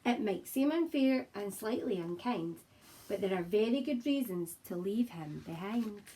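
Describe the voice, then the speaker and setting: A woman primary teacher with a slightly husky voice, talking to young children and reading a picture book aloud in an expressive, encouraging way. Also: mid-range voice, a little slow. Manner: expressive, encouraging, simple address to small children. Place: child's room at home, indoors.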